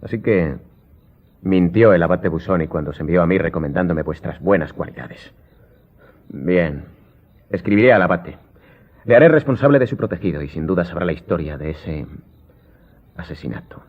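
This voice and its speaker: An actor playing a commanding count in an audio drama, formal, authoritative and coolly sardonic. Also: male voice, sits low, lively intonation, normal speed, pauses often. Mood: stern, threatening. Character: commanding, coolly ironic count, master of the house. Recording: muffled, band-limited audio.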